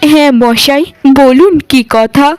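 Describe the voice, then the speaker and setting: A woman's voice: sits high, measured pace, darkish tone, no room sound. A woman voicing a meek, wronged young village woman in a cartoon, earnest and plaintive. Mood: plaintive, wary. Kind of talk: Bengali cartoon voice acting.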